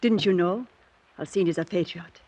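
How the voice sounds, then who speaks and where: A man's voice: high voice, normal speed, a little mellow, dull audio. An actor playing a gracious, motherly older French village aunt with a French accent, in a 1940s radio style. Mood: concerned. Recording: vintage 1940s radio recording.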